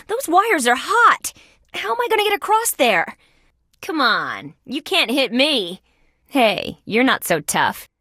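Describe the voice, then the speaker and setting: A woman voicing a young, wisecracking female insect police detective in a video game, with sardonic, deadpan quips. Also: high-pitched, dry recording, studio quality, full character voice. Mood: taunting.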